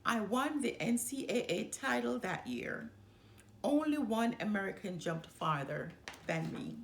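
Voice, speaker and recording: A woman reading a children's picture book aloud as a storyteller, with deliberate phrasing and dramatic emphasis. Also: mid-range voice, lively intonation, creaky, slight background noise. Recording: roomy, echoey sound.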